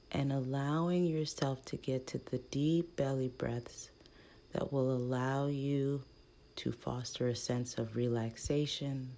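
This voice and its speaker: Slow, very low-voiced woman guiding meditation. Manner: gentle, hushed delivery with long pauses between short phrases. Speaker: guided meditation leader. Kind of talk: guided meditation, soothing instruction.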